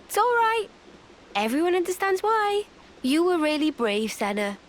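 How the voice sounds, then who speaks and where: Casting: encouraging young game character, high register, expressive pitch, gentle. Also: female voice, normal speed, a little hiss or hum. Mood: reassuring. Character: kind, reassuring young woman. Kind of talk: video game cutscene acting.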